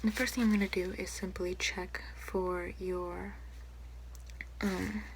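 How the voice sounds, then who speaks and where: Young female, ASMR doctor roleplay. Hushed and breathy, very even intonation, polite and unhurried, noisy home recording. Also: on the low side. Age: young adult. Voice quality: soft, breathy, often whispering. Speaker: ASMR creator playing a doctor. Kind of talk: ASMR roleplay, soft-spoken and whispered. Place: small room at home, indoors. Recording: noticeable background hiss, home recording.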